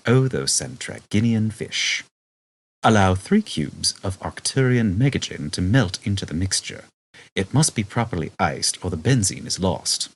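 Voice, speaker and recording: A man with a very deep, husky voice narrates a comic story. His delivery is scripted and dryly humorous, and his pitch moves in lively swings. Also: normal speed. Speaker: audiobook narrator.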